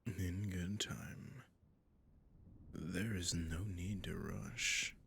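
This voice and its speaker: Man acting a deep, slow, gravelly seductive vampire lord. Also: fairly even intonation, fairly bright tone, a little hiss or hum, full character voice. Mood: silky, insistent. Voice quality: low, breathy, gravelly. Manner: formal, courtly, teasing, drawn-out breathy murmurs. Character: suave sadistic male vampire lord, seductive and menacing. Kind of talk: intimate audio roleplay addressed to listener.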